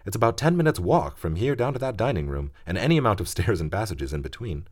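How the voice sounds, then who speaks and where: Man doing a hearty well-spoken older brother, brisk and confident. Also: on the low side, big pitch swings, a little mellow, voice pushed up. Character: eldest boy, cheerful, confident and proper.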